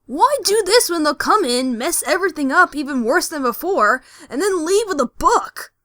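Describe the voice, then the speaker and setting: A woman plays a young cartoon dragon boy, high and bright. The delivery is very lively and a little exasperated, recorded dry. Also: moderate pace, dry recording, exaggerated voice. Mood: cheeky, exasperated. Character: young male cartoon dragon, squeaky, questioning, mildly exasperated. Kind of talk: cartoon-like audio drama acting.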